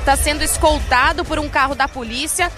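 Woman field reporter, live sports news, fairly high voice, music behind, running description of arrivals. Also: sharp tone. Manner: descriptive running commentary, quick lists of names.

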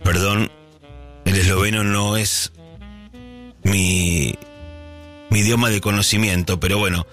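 A man's voice: deep voice, fairly even intonation, measured pace, long pauses, a bit bright, faint music behind. A middle-aged man with a Rioplatense Spanish accent hosting a casual early-morning radio show, chatting and thinking aloud with many fillers and restarts. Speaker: radio host.

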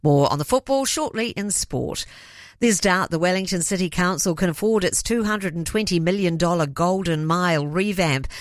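A woman with a New Zealand accent reading a radio news bulletin in a measured, even way. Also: on the low side, no echo, clean studio sound. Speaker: newsreader.

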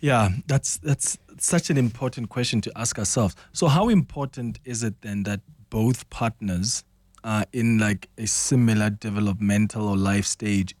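A man's voice: on the low side, darkish tone, no echo. A man in his thirties hosting a conversational interview, engaged and affirming, with self-deprecating humour. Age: 30s. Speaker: podcast host.

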